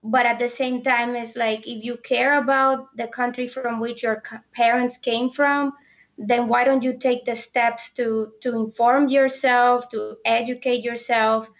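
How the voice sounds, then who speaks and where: A young woman from the Dominican Republic with a Dominican Spanish accent, speaking thoughtfully with many pauses in a casual discussion. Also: on the high side, flat delivery, lo-fi sound. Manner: thoughtful, hesitant with many ums.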